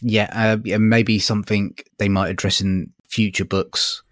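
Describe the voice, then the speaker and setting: Hesitant, lowish-voiced man in casual chat. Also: flat delivery, unhurried, fairly bright tone, no room sound, clean studio sound. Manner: tentative, hesitant, searching for words. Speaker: podcast co-host reviewing audiobooks.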